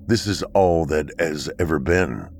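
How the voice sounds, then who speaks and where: A man voicing a calm, androgynous bunker dweller from the far future, speaking slowly and sternly. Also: extremely low pitch, soft background music. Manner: slow, measured, stern.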